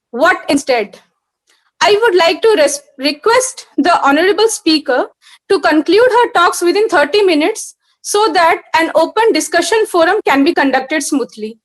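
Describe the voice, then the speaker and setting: Very high-voiced woman moderating a webinar. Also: lively intonation, measured pace, low-quality recording. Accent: Indian English. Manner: formal and polite. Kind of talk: formal introduction.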